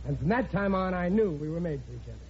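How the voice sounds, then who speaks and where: A man's voice: sits high, animated, fast talker, darkish tone. An actor playing a blustery, folksy middle-aged American working-class father in an old radio sitcom. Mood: genial, relieved. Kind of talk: 1950s radio sitcom acting. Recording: muffled old radio recording, faint noise.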